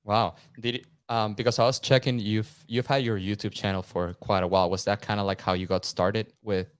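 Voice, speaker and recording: A man's voice: lowish voice, level tone, fairly quick, smooth. A man in his twenties from Venezuela with a Venezuelan Spanish accent, hosting a podcast interview in an easygoing, chatty way and laughing often. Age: 20s. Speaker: podcast host. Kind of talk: casual interview chat.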